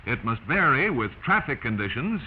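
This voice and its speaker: American guy narrating a 40s training film, really lively pitch, emphatic and instructive, dull old noisy soundtrack. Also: moderate pace. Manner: emphatic, instructive, addressing the listener directly.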